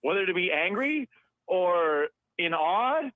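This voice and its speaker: A man's voice: sits high, big pitch swings, slow. A man calling in to a radio talk show, talking in a chatty, rambling way and laughing often. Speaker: caller. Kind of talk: phone-in conversation. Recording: tinny dry phone line.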